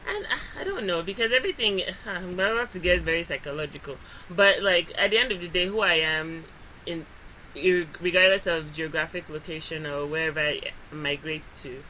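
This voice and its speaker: Co-host, woman from Nigeria, lowish voice, reflective, thinking aloud. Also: lively intonation, a little fast, pushed and bright, a little hiss or hum, low-quality recording. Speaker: podcast co-host. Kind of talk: casual podcast chat.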